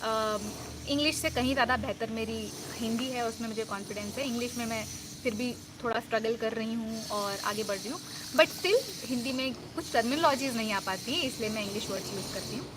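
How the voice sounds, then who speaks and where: A woman's voice: a little high, brisk, noisy background. A woman life coach giving an earnest, reassuring self-help talk, mixing English words into her Hindi.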